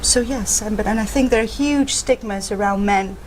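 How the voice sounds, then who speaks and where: A woman psychotherapist, raised in Malaysia, speaks as a guest in an even, measured tone. She talks frankly and plainly, with a mid-range voice. There is a faint hiss in the background. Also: mid-range voice, rough recording. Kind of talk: interview guest, explaining her work.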